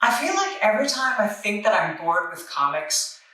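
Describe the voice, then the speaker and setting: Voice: medium pitch, very animated, normal speed, heavy background noise. A playful, tongue-in-cheek host giving a casual review with asides. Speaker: host of a comic book review show. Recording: echoey, distant microphone, music underneath. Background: music.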